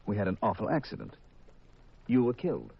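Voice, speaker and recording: Low, husky, cold-blooded radio killer. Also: male voice, huge pitch swings, exaggerated voice. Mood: cold gloating. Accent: American. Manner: deliberate, hard-boiled, mock-polite. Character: cold, confessing killer, male insurance man, coolly menacing. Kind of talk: 1950s radio mystery acting. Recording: muffled, dull 1950s radio recording.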